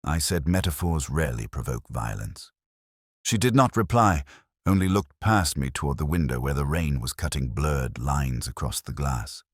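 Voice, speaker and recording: Very deep-voiced man narrating a mystery. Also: lively intonation, normal speed, husky, dry recording, studio quality. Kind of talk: scripted first-person mystery narration.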